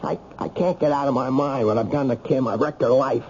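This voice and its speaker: A man's voice: mid-range voice, steady tone, brisk, a little mellow, close to an everyday voice. An actor playing a desperate, wrongly accused ex-con in his thirties, agitated and self-pitying, in an old-time radio drama. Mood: despairing. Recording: dull, lightly noisy old radio recording.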